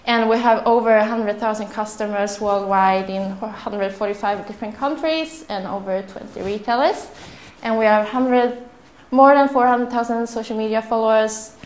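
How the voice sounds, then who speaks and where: A woman's voice: medium pitch, reverberant. A young woman with a Swedish accent, giving a nervous, chatty talk to a live audience and laughing often. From Sweden. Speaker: speaker. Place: room with audience.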